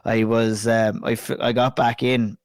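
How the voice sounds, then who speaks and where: An Irish man hosting a casual podcast chat, a bit hoarse and croaky from a flu, chatty and sweary. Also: mid-range voice, flat delivery, a little slow, no room sound, clean studio sound. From Ireland. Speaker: podcast host.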